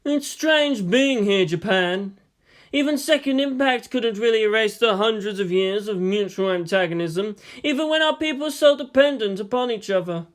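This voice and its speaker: Man playing high-voiced, exasperated teenage boy. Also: moderate pace, lightly acted. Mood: wistful. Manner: groaning, complaining, frustrated. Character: exasperated teenage boy, reluctant mastermind, weary and sarcastic. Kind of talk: dramatized audiobook dialogue.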